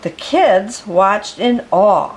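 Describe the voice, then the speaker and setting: A woman reading a picture book aloud to children in an expressive way, pausing to explain words. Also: mid-range voice. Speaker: storyteller.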